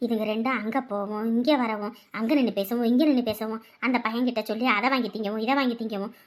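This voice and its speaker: Woman as scandalised village mother-in-law, high voice, rural Tamil Nadu accent, scolding, complaining, family drama. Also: fairly even intonation, fast, natural voice. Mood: scandalised gossip. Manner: complaining, rhetorical questions, rustic turns of phrase.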